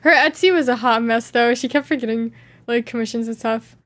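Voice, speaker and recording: A woman's voice: a little high, brisk, fairly bright tone, no echo. A woman streamer chatting casually, reading viewer questions aloud and reacting playfully with frequent giggles. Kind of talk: casual live Q&A chat.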